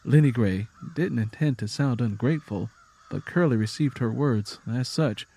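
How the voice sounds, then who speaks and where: A man's voice: mid-range voice, moderate pace, dark tone, husky. A man reading scripted story narration in a solemn, dramatic manner.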